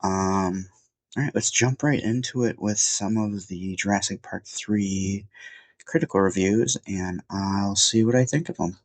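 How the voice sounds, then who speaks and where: Male film reviewer, deep voice, stuffed-up and sniffly, meandering casual delivery, dry room. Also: unhurried, dry recording. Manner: rambling, many fillers and restarts. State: sniffly from a cold. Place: at home.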